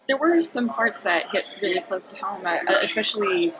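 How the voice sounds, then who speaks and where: A woman's voice: mid-range voice, expressive, normal speed. A woman interviewer asking polite, slightly hesitant questions with a few ums and uhs. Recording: loud crowd noise behind. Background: loud crowd.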